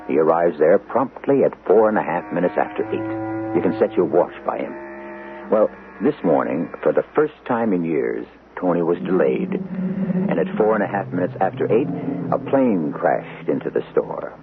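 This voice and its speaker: Deep-voiced man narrating a radio mystery. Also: big pitch swings, vocal fry. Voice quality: measured storytelling. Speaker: host narrator. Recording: tinny old radio broadcast with noise.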